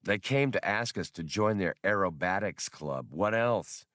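Male narrator as wary smuggler hero, sardonic, commanding, clipped delivery, low pitch, sci-fi audiobook. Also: expressive, unhurried, a bit bright, dry recording, studio quality. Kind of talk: audiobook character dialogue.

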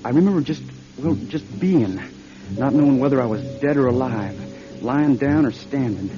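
An actor playing a scared, suspicious young man in a radio drama, speaking in an anxious, halting stammer. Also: medium pitch, lively intonation, close to an everyday voice. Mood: dread, halting recollection. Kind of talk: audio drama acting, first-person narration and dialogue. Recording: dull old radio drama, music behind.

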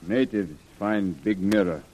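An actor in a 1930s radio serial playing a loyal native servant who speaks halting broken English. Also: male voice, lowish voice, darkish tone, slight background noise, lightly acted. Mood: dutiful reporting. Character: loyal native servant and tracker, a grown man. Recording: old radio recording.

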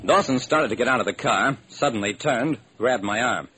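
A man's voice: medium pitch, normal speed, pushed and bright, lightly acted. An actor playing a hardboiled American private detective who wryly narrates his own case in an old-time radio drama. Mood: wry, intent. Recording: noisy old radio recording.

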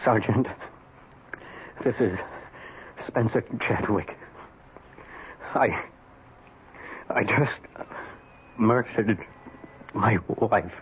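An actor playing a tormented middle-aged husband in a 1970s radio drama, halting and repeating himself. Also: male voice, fairly low pitch. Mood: dazed, halting. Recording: dull, noisy 1970s radio recording.

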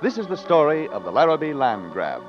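A man's voice: fairly high pitch, huge pitch swings, a little fast, soft background music. A man narrating a western adventure in a grand, dramatic old-time radio announcer style. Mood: grave, dramatic. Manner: grand, theatrical. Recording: muffled old radio recording.

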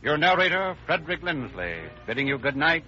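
A man's voice: a little high, sing-song, brisk, bright, forceful tone. An American man narrating and announcing in formal, polished, declamatory 1930s radio style. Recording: dull old radio recording. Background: music.

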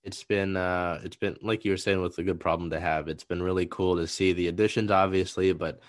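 A man's voice: sits low, fairly even intonation, a little fast, no echo, clean studio sound. A man interviewing a soccer player, asking long, rambling questions with many restarts and fillers. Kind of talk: conversational podcast interview.

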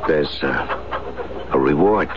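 A gentle, tired fugitive with a very low voice and varied intonation. He is polite and a little hesitant. The 1970s radio drama recording has clear background noise. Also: animated, very slow, highs cut off, exaggerated voice. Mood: weary, earnest. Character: weary, gentle, honest fugitive husband.

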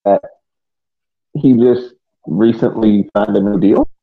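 A man co-hosting a sports podcast, giving casual soccer analysis in a measured, hesitant way, repeating phrases as he reasons. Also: on the low side. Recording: tinny phone-like connection.

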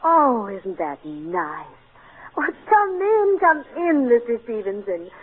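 An actress playing a warm, homely wife and mother in a 1940s radio comedy. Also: on the high side, sing-song, slow, slightly rough, exaggerated voice. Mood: warm, relieved. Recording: narrow, hissy 1940s radio broadcast.

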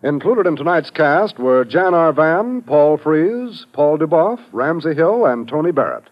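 A man's voice: fairly high pitch, big pitch swings, unhurried, faint music behind. A man with an American accent reading scripted copy as a polished, formal 1950s network radio announcer. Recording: muffled vintage radio recording.

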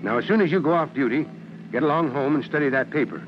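Gruff, fatherly American fire chief, acted by a man. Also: fairly high pitch, fast talker, natural voice. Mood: grave, fatherly. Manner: firm, authoritative, fatherly. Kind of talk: 1940s-50s American radio drama acting. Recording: muffled old radio recording, noisy vehicle backdrop. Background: car noise.

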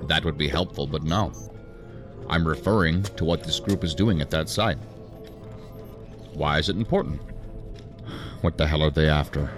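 An actor playing a stern, dry assistant director in a sci-fi audio drama, formal, measured and authoritative. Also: male voice, rumbling low, music in the background. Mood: stern, controlled. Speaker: senior law-enforcement official.

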